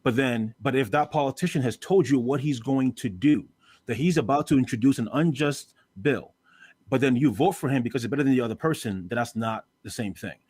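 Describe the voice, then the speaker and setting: A man's voice: mid-range voice, darkish tone, slightly rough, dry recording. Man from Canada, debate guest, insistent with lots of restarts, dry mic. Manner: rapid, repetitive restarts when pressing a point. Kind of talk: live debate.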